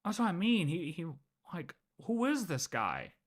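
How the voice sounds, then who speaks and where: A streamer giving casual, off-the-cuff reaction commentary in a halting way, often repeating and rephrasing. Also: mid-range voice, wildly swooping pitch, husky. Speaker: livestreamer reacting to a video.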